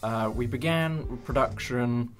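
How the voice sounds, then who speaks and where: A man's voice: mid-range voice, slow. A young man hosting a casual behind-the-scenes chat about a production, speaking in a hesitant, appreciative way. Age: young adult. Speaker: host and audio drama producer.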